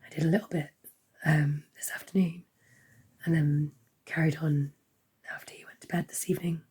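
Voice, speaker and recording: A woman giving a casual crafting update, hoarse and half-whispering as her voice fails. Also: deep voice, flat delivery, normal speed, dark tone. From United Kingdom. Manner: half-whispered, croaky delivery. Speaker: crochet vlogger.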